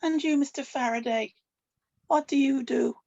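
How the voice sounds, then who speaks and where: A woman's voice: high-pitched, normal speed, creaky, a little mellow. A woman playing a wary, commanding witch grandmother at a dramatic table read, composed, formal and pointed. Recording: slightly dull, lo-fi recording.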